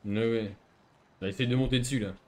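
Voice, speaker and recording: A man's voice: mid-range voice, steady tone, fairly quick. A man casually role-playing a civilian in an online game, talking back in a dismissive, cheeky way. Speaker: gamer playing a biker civilian stopped by police. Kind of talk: casual improvised trolling role-play.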